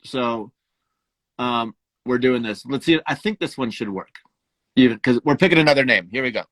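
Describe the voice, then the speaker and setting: A man hosting a live raffle in casual livestream chat, haltingly thinking aloud as he handles each task. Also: medium pitch, a bit bright, dry recording, rough recording. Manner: halting, thinking aloud while doing tasks.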